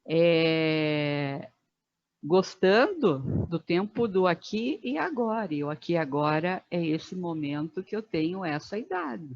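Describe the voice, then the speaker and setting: Slow, thoughtful woman in her fifties. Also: fairly low pitch, animated, no room sound, lo-fi sound. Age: 50s. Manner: thoughtful, many fillers and pauses. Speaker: guest, Biodanza facilitator. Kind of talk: reflective podcast conversation.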